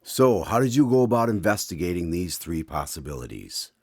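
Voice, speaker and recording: A man's voice: deep voice, sing-song, a little fast, a little mellow, no room sound, studio quality, full character voice. A man playing a genial, eager radio host, in a scripted announcer style. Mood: eager, curious. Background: guitar and piano music.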